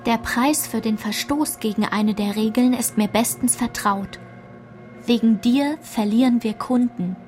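A woman's voice: on the high side, measured pace, a little mellow, lightly acted. A woman reading scripted literary narration as the narrator of a radio play. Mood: measured. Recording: music behind the voice.

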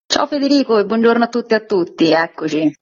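A woman's voice: on the high side, expressive, quick pace, no echo. A woman being interviewed on radio as a guest, explaining an event programme in an informational way. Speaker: guest presenting a community festival. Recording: dry, slightly dull lo-fi line.

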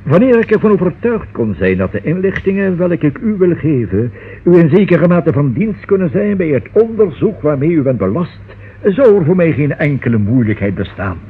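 An actor playing a cautious, pedantic notary in a Dutch radio drama, formal, long-winded and pompous. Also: male voice, on the high side, sing-song, moderate pace, a little hiss or hum. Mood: guarded, formal. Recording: thin, muffled old radio recording.

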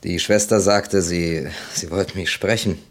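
An actor playing a gentle, courteous amateur sleuth in a German radio crime play, speaking thoughtfully and hesitantly. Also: male voice, low-pitched, steady tone, a little slow. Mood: gentle, questioning.

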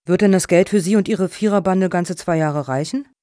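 A woman acting in a radio satire as a brisk, formal foundation juror with a businesslike, measured manner. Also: fairly low pitch, mellow, no echo, studio quality, lightly acted. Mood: resigned.

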